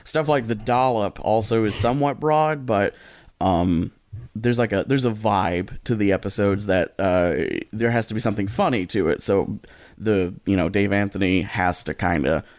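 Lowish-voiced man podcaster, dry sound. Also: moderate pace, a little mellow, dry recording. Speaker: podcast co-host. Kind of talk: casual podcast discussion.

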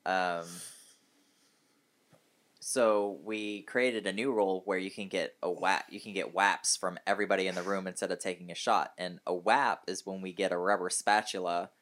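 Hungover guy w a lowish voice just telling stories on a casual podcast. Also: steady tone, normal speed, a bit bright. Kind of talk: casual chat, storytelling.

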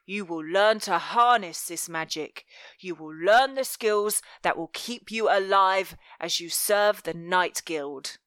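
A woman voicing a cruel, menacing male master of thieves in an audiobook, commanding and deliberate, with mock-tender threat. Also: lowish voice, fairly bright tone, smooth, dry recording, studio quality. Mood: sneering, instructive. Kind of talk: dramatic audiobook character voice.

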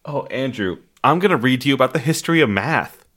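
A man with a booming, loud voice co-hosting a podcast in casual, jokey, profane and animated chat. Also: fast talker. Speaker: podcast co-host.

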